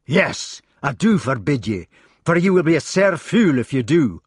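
A man's voice: fairly high pitch, sing-song, normal speed, breathy edge, no echo, studio quality. A man voicing a hot-tempered, towering Scottish clan head, a blustering and commanding knight in a storybook reading. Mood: commanding, stern.

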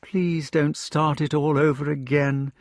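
A man voicing a sensible, sharp-tempered noblewoman, no longer young, firmly reproving her lover in an audiobook. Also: fairly high pitch, flat delivery, unhurried, dry recording, clean studio sound, voice pushed up. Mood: plaintive, weary. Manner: firm, reproving, plaintive. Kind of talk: audiobook character dialogue.

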